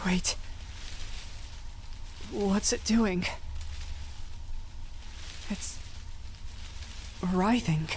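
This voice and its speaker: A woman acts out a gentle but desperate lady doctor in a fantasy adventure audio drama. She speaks very slowly and haltingly, with breathy, emotional delivery. Her voice sits at an average pitch for a woman. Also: slight background noise. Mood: tense, wary. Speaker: voice actor in audio roleplay. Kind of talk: dramatic audio roleplay acting.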